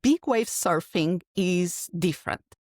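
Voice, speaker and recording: A woman's voice: fairly low pitch, animated, takes it slowly, darkish tone, dry recording, studio quality. A middle-aged woman from Portugal with a Portuguese accent, answering interview questions as a keynote speaker in a reflective, explanatory way. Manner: earnest, reflective, speaks in long explanatory runs with restarts.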